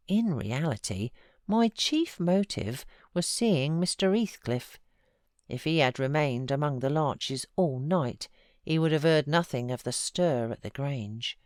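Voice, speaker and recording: A British woman narrating classic literature as an audiobook, with measured, expressive storytelling. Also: deep voice, dry recording, clean studio sound. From United Kingdom. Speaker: audiobook narrator and voiceover artist.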